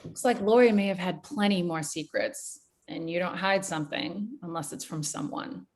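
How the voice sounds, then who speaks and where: A woman acting a bold, sharp, streetwise investigator in a screenplay table read, with punchy colloquial delivery. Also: lowish voice, moderate pace, lightly acted. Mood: knowing.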